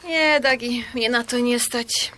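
An actress in a radio drama playing a modest, self-doubting Polish pensioner around sixty, speaking in a hesitant, demurring, conversational way. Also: female voice, a little high, takes it slowly, pushed and bright, a little hiss or hum. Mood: self-deprecating.

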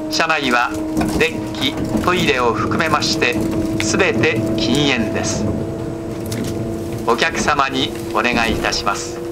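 男性の車内自動放送、走行音あり. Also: male voice, a little high. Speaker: recorded train announcer. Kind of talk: formal scripted train announcement. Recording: noisy recording inside moving train. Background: train running noise.

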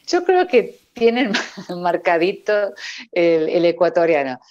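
A low-pitched woman from Córdoba, Argentina, with a lilting Cordobés accent, talking easily and laughing often. Her voice comes through earphone headset audio on a video call, a little dull and very dry. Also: big pitch swings, slow pace, dry recording. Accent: Cordobés Argentine Spanish. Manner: laughs often, warm sing-song lilt. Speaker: voice-over artist guest. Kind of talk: casual interview over video call. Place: indoor room at home.

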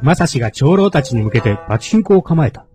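A man's voice: a little high, very animated, quick pace, warm tone, soft background music. A man narrating a scripted radio drama as its storyteller.

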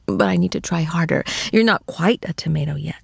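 A woman playing an affectionate, teasing girlfriend in an intimate ASMR roleplay, cooing tenderly and often slipping into soft, breathy whispers. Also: low voice, no echo, full character voice. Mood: playful, adoring. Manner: cooing, playful, slow and tender. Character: affectionate, teasing young girlfriend comforting her shy boyfriend.

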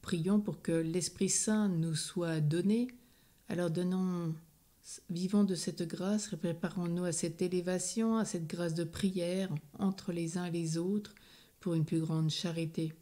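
Woman leading prayers, lowish mellow voice, slow and steady. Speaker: prayer leader. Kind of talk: leading a recited rosary.

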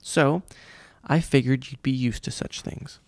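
A man's voice: mid-range voice, fairly even intonation, measured pace, creaky, no room sound. A man reading a storybook, voicing a pompous, cruel male vice principal who mimics people mockingly. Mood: condescending.

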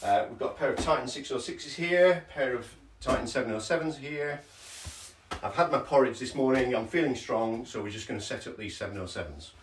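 A man's voice: mid-range voice, expressive, a little fast, background noise. A middle-aged man casually talking through an unboxing in a large showroom, chatty and hesitant with fillers, moving about as he lifts and unpacks. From England. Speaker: hi-fi shop owner. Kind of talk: casual product unboxing demo. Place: large carpeted listening showroom indoors. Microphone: camera mic from across the room. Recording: roomy showroom sound from far off with music.

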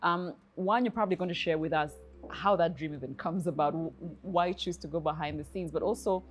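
A woman's voice: lowish voice, fairly quick. A woman in her thirties with a Ugandan English accent, hosting a studio interview and asking long, chatty questions. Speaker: host. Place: bright indoor studio set with armchairs.

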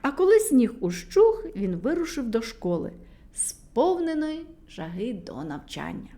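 A woman reading a children's fairy tale aloud, animated and speaking directly to the children listening. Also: a little high. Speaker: storyteller.

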